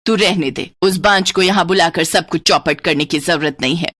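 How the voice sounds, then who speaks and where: A woman's voice: lowish voice, moderate pace, fairly bright tone. A woman voicing a harsh, domineering older mother-in-law in a moral cartoon story, scolding and proud. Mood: scornful. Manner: scolding, commanding, taunting.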